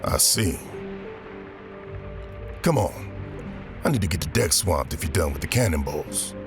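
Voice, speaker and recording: A low, gruff pirate sailor voiced by a man in an audio drama. He is commanding and dryly amused, speaking in terse, measured lines over clear background music. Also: animated. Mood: stern, advising.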